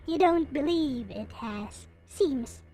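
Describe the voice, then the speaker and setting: Female streamer, high voice, unhurried pace, casual chat with viewers. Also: animated, dark tone. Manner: chatting casually to viewers, replying to comments. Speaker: livestream host. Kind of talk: casual livestream chat showing collectible figures.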